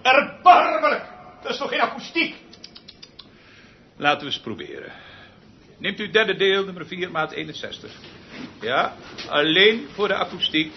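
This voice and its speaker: Radio play role: tyrannical, exasperated male conductor, highish, very animated, theatrical, from muttering to bellowing, on a dull old recording. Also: a little slow, long pauses, a little hiss or hum, full character voice. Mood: exasperated, commanding.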